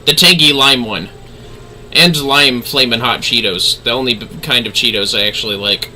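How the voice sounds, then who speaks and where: A man's voice: medium pitch, normal speed, pushed and bright, slight background noise, rough recording. A man hosting a gaming livestream with casual, easygoing, chatty talk to his viewers. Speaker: livestreaming gamer host.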